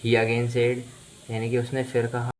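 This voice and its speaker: A man's voice: mid-range voice, completely flat delivery, a little slow, darkish tone, a little hiss or hum, low-quality recording. A man with an Indian accent, a native Hindi speaker, teaching English in a bilingual lesson, explaining word by word and repeating phrases.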